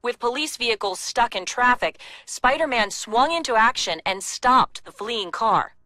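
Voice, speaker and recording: Bright female news reporter. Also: on the high side, animated, normal speed, no echo. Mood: brisk, factual.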